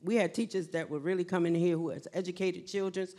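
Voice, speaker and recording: A woman's voice: on the low side, fairly even intonation, quick pace, warm tone. A middle-aged woman pastor answering at a candidate forum, emphatic and repetitive, on a handheld mic in a library meeting room. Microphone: handheld microphone.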